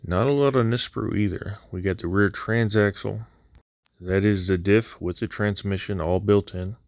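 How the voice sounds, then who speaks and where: A man's voice: lowish voice, normal speed, no echo. A man narrating an unboxing voice-over of a scale model kit in a casual way with dry humor, his voice a little worn after nearly losing it. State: recovering from near voice loss.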